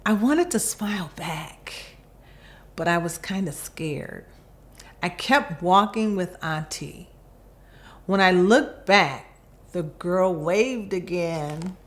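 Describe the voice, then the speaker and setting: Very slow, expressive woman storyteller. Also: fairly low pitch. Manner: expressive, deliberate phrasing with pauses. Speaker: volunteer storybook reader. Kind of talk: children's picture book read-aloud.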